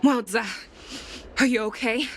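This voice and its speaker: A woman's voice: on the high side, fairly even intonation, lightly acted. Actress playing a stern woman general over music. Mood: grave concern. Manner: authoritative, measured. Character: stern, commanding female general, steely and resolute. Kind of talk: video game cutscene acting. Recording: music behind the voice.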